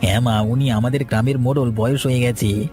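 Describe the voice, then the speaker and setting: A man's voice: medium pitch, steady tone, a little fast, dark tone, faint music behind, lightly acted. A man playing an eager, cowardly young disciple who stammers deferentially in a horror cartoon. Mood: eager, nervous. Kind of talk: cartoon horror drama acting.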